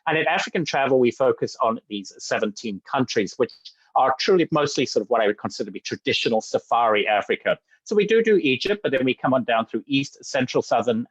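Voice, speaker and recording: A man's voice: mid-range voice, breathy edge, no echo, lo-fi sound. Upbeat man from Zambia selling safaris. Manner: energetic, fast-talking, frequent fillers and restarts. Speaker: sales director presenting. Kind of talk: enthusiastic webinar presentation.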